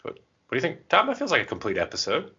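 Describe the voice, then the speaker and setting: A man's voice: mid-range voice, huge pitch swings, fast, bright, forceful tone, dull audio, slight background noise. A man co-hosting a podcast in casual chat, joking and self-deprecating. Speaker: podcast co-host.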